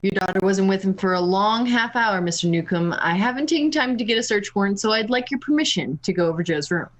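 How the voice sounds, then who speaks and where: A woman's voice: medium pitch, expressive, quick pace, natural voice, low-quality recording. A woman playing a no-nonsense police detective sergeant in an old-time radio crime play, commanding and matter-of-fact. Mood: brisk, suspicious.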